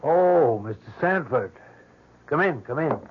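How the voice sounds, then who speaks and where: An actor playing a calm, concerned, gentlemanly doctor and confidant in an old-fashioned radio drama. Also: male voice, a little high, measured pace. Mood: welcoming. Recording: muffled, faintly noisy old radio recording.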